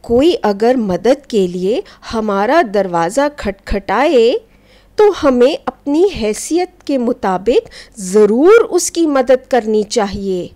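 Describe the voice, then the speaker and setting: Female storyteller for children's folk tales: high voice, very lively intonation, gently instructive. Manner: expressive, didactic, addressing children. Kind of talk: scripted narration of children's folk tale.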